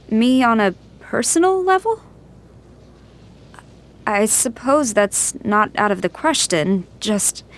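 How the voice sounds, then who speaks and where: A woman playing a stern, loyal military general in a video game, speaking in a formal, measured, earnest way. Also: medium pitch, a bit bright, lightly acted. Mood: guarded, reserved. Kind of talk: scripted game character voice lines. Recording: music behind the voice.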